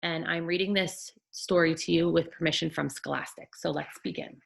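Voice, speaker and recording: A woman teacher reading a children's bedtime story aloud in an expressive, deliberate storytelling manner. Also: low voice, creaky.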